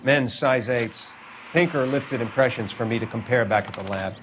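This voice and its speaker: Crime-scene coroner, male actor, mid-pitched, detached and clinical, game dialogue with clear ambient noise. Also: close to an everyday voice. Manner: measured, clinical. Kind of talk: cinematic video game acting.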